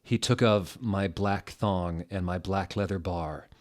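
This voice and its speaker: Male narrator, lowish voice, mock-dramatic and deadpan delivery of scripted prose. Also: slow pace. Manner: deadpan, reads typos and punctuation aloud. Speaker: host reading fan fiction aloud.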